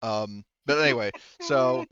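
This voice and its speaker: A man narrating a tabletop roleplaying game as game master, in a casual, halting, informal way. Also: mid-range voice, fairly bright tone, lo-fi sound.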